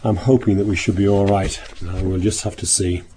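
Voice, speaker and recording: A man giving a sermon in a meeting room, speaking hoarsely as he nearly loses his voice, rambling with many restarts. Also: low voice, little pitch movement, a little fast, mellow, a little hiss or hum, rough recording. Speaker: minister. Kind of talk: sermon opening with travel account.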